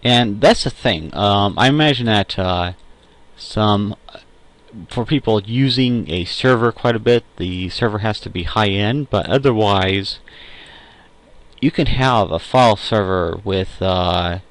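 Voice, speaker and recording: A young man with a fairly low voice records a casual solo audio log. He speaks off the cuff in a halting, hesitant way, often pausing to find a word or rephrase what he has just said. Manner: hesitant, halting, self-correcting, with frequent pauses. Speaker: amateur audio log host. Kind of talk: casual unscripted tech and personal monologue.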